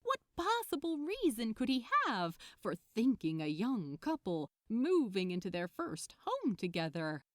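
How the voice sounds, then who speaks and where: Female fiction narrator: measured pace, animated intonation, close dry sound for gentle romantic storytelling. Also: on the high side, no echo. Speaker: podfic narrator.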